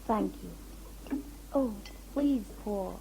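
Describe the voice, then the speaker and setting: A woman's voice: mid-range voice, animated, extremely slow, creaky, exaggerated voice. A woman playing a regal, composed noble lady and leader in an audio drama, formal, courteous and commanding. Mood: firm, polite. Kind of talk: fan audio sci-fi drama acting. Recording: noisy amateur audio-drama tape.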